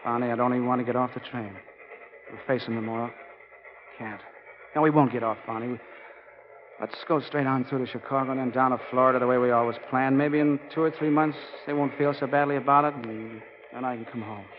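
An actor playing a driven, earnest American college football coach in a brisk, folksy 1940s radio-drama style. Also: male voice, mid-range voice, fairly even intonation, a little hiss or hum, close to an everyday voice. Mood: dejected, ashamed. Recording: muffled 1940 radio broadcast.

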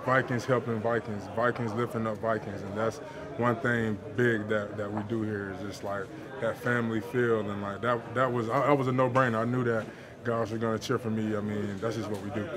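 A man's voice: on the low side, normal speed. Laid-back young man in a noisy locker room. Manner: relaxed, laid-back, with filler words and light laughs. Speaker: football player. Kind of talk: post-game locker room interview. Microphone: handheld reporter microphones. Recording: busy locker room crowd noise. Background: locker room chatter.

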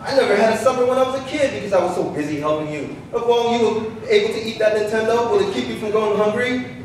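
A man's voice: high voice, fast talker, natural voice. A man on stage playing a wounded, resentful grown son in a staged reading, speaking in an accusing, aggrieved way. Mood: angry, accusing. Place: large echoey public hall with glass balcony. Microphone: handheld microphone, heard through room speakers. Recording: echoey hall, distant, faint noise.

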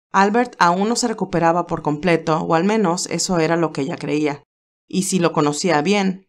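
A woman narrating a romance story in a soft, measured storytelling voice. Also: fairly low pitch. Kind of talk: audiobook narration of fan fiction.

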